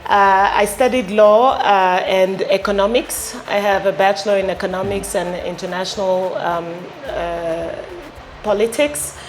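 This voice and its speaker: Middle-aged woman, interview guest, halting speech, lapel mic, faint room noise. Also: mid-range voice, fairly even intonation. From Ghana. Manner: halting, many fillers and restarts. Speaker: company founder, interview guest. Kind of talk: casual interview answers. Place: showroom with electric bikes.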